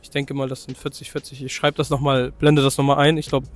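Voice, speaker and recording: A young man with a slightly hoarse voice from a cold, chatting loosely through a walkaround of his car and correcting himself as he goes. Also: mid-range voice, level tone, fast talker. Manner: loose, chatty, full of fillers and self-corrections. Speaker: car vlogger showing his own car. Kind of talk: casual car walkaround vlog.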